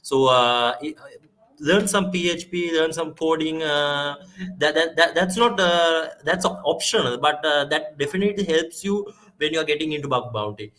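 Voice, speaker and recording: A young man from Sri Lanka, a native Sinhala speaker, talking as a guest on a live-stream video call, halting with many fillers and restarts. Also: on the high side, sharp tone.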